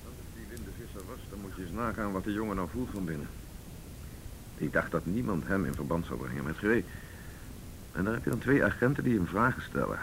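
A persuasive senior detective from a radio play, with a low voice and a Netherlands Dutch accent. He talks quickly and insistently, over faint noise. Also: animated. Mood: speculating. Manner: insistent, reasoning aloud. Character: senior male police detective, determined and persuasive. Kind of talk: naturalistic radio drama dialogue.

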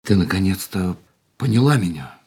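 An ardent, husky-voiced lover. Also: male voice, sits low, animated, moderate pace, warm tone. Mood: tender eager. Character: adult man, ardent, devoted lover. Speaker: lover in radio play. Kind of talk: radio play acting.

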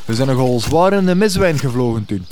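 A man's voice: mid-range voice, animated, a little fast, a little mellow, a little hiss or hum, close to an everyday voice. A man playing a blunt, matter-of-fact farmer with a Flemish accent and rustic dialect in a radio comedy drama.

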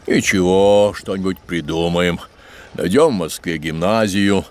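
An actor playing a careworn middle-aged father, a gently apologetic shopkeeper with a gravelly voice, in an audio drama. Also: low-pitched, measured pace. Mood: heavy, tender. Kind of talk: Russian audio drama acting.

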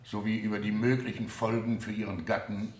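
An actor playing a stern, authoritative presiding judge in a courtroom radio drama, speaking formally and exactly. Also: male voice, on the low side, flat delivery, fairly quick, darkish tone, natural voice. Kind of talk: 1970 German courtroom radio drama acting. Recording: echoey room, 1970 radio production.

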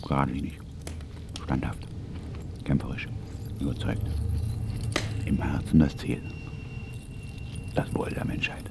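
An actor playing an embittered, suspicious old communist in a psychiatric clinic, in terse radio drama monologue. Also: male voice, a bass voice, exaggerated voice. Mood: defiant, suspicious. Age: older. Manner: terse, grumbling, breathy half-whispers swelling to declamation. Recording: noisy ambience behind the voice.

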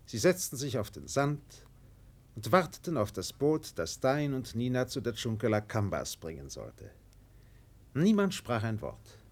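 A male narrator reads a literary radio play solemnly. His mid-pitched voice moves slowly through dramatic pauses, with lively, wide swings of intonation.

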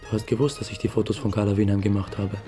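An actor playing a young theatre employee in a radio drama, dry, understated and defensive. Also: male voice, lowish voice, fairly even intonation, fast talker, mellow, faint music behind, close to an everyday voice. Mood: insistent. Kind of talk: radio drama narration and dialogue.

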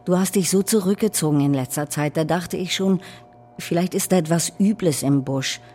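A man's voice: on the high side, moderate pace, warm tone, slight background noise, close to an everyday voice. A man acting in a radio drama as a candid, concerned visitor, speaking earnestly and hesitantly. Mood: worried.

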